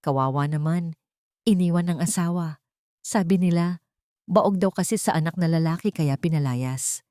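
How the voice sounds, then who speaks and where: A woman's voice: on the low side, moderate pace, dry recording, clean studio sound, lightly acted. A woman voicing a scornful, gossiping female neighbour with mocking pity in a narrated love story. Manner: mocking, loud-mouthed pity.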